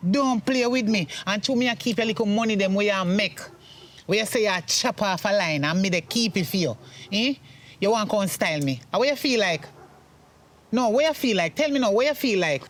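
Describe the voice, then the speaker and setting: A man's voice: fairly high pitch, brisk, natural voice. A man with a Jamaican accent playing a brazen middle-aged mother in a domestic drama, insistent and repeating the same phrases. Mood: defiant, scolding. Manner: repetitive, insistent.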